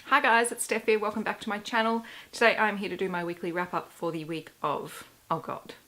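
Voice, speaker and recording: A woman in her thirties with a hoarse, worn-out voice, chatting casually and candidly as a book vlogger doing a weekly reading wrap-up. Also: medium pitch, little pitch movement, a little fast, fairly bright tone, soft background music. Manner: chatty and candid, with self-deprecating asides.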